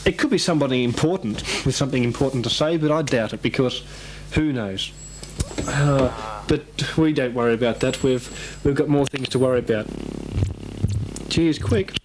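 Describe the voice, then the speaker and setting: A man's voice: medium pitch, normal speed, noisy background. A man chatting casually as an amateur radio operator, rambling and hesitant, often repeating himself.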